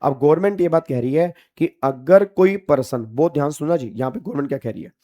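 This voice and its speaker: A man's voice: on the high side, fast, mellow. A man lecturing as a teacher, emphatic and familiar with his students, repeating his key points. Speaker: tax law teacher. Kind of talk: classroom lecture mixing Hindi and English.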